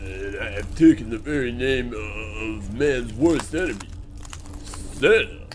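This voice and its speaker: Comic radio villain male actor: grandiose monster posing as Santa, medium pitch, imperious, noisy ambient recording. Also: animated, takes it slowly. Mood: pompous, gloating. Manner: pompous, grandiose, commanding. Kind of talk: parody radio play acting.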